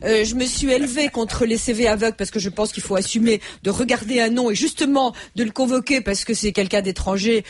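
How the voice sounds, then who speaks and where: A woman's voice: a little high, brisk, hardly any pauses. A woman on a radio debate panel, speaking assertively and interrupting to press her argument.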